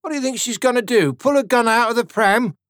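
A man's voice: very high voice, fast, fairly bright tone, no room sound, studio quality, voice pushed up. A man reading an audiobook voicing an easygoing, amused young soldier with light, laughing banter.

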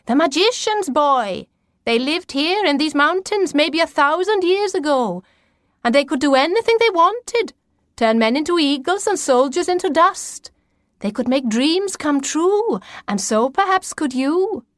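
A woman's voice: sits high, animated, a little slow, fairly bright tone, no room sound, studio quality, voice pushed up. A woman voicing an eccentric, commanding grandmother who makes grand, mystical pronouncements in an audiobook. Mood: portentous. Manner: emphatic, grand, singsong pronouncements.

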